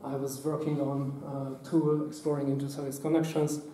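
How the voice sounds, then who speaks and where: A man, giving a hands-on workshop talk at a conference, speaking haltingly with many fillers and restarts. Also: medium pitch, flat delivery, warm tone, slight background noise. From Hungary. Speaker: workshop presenter. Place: conference room. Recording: echoey room, mic at a distance.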